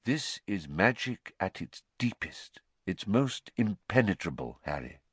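A man voicing a quiet, wise old headmaster. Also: low-pitched, big pitch swings, breathy edge, no echo, exaggerated voice. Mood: calm, grave. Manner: quiet, measured, soft-spoken, reflective. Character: wise, gentle elderly male wizard headmaster. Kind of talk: audiobook character voice.

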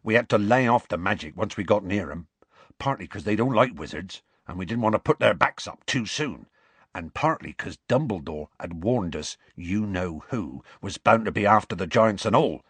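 A big, gruff half-giant groundskeeper, as a male reader puts him on, low and husky, rumbling along in a rustic dialect with lively swings in tone. The recording is dry and close. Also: moderate pace, no echo. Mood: storytelling.

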